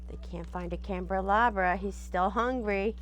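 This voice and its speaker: A woman's voice: medium pitch, moderate pace. A woman reading a picture book aloud expressively, with explanatory asides, checking in with her listeners as she goes.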